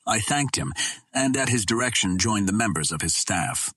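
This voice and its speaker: A man's voice: lowish voice, animated, normal speed, fairly bright tone, breathy edge, dry recording. A man reading a first-person adventure novel aloud as scripted audiobook narration. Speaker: audiobook reader.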